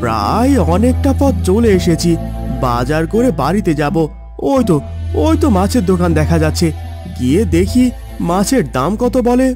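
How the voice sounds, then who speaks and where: Poor tired farmer in a cartoon, high voice, up n down a lot, humble and plaintive, music behind. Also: male voice, big pitch swings, moderate pace, darkish tone. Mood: hopeful, humble. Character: poor, weary, downtrodden farmer and husband. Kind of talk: Bengali moral-story cartoon dubbing.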